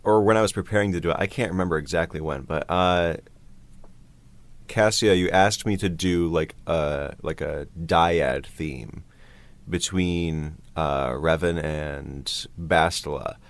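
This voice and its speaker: Very deep-voiced man chatting casually. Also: measured pace. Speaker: composer for an audio drama. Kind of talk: casual chat about creative work.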